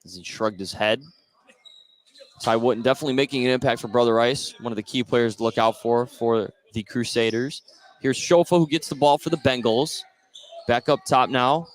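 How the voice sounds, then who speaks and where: A man's voice: mid-range voice, fairly even intonation, moderate pace. A man doing live basketball radio play-by-play, narrating each play in short phrases. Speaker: play-by-play commentator.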